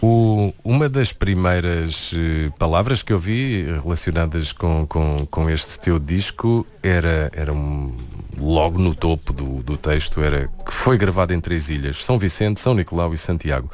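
A man interviewing a guest in a studio, asking questions in halting starts. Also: sits low, a little mellow. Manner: halting, restarts his questions.

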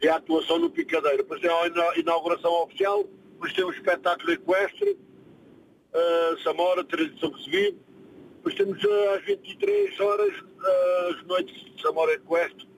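A man's voice: sits high, wildly swooping pitch, brisk, a little hiss or hum, rough recording. Hesitant Portuguese man on the radio. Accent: European Portuguese. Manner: hesitant, reads from a poster. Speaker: radio guest. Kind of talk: casual radio chat.

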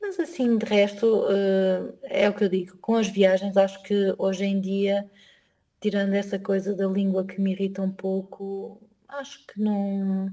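Mellow Portuguese woman, hesitant talker. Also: mid-range voice, flat delivery, measured pace. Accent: European Portuguese. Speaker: interview guest, emigrant living in Germany. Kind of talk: conversational interview answers.

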